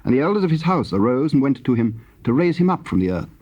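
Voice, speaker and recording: A man with a husky voice narrating scripture in a solemn, scripted style. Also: mid-range voice, very animated, quick pace. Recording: dull, lo-fi tape sound.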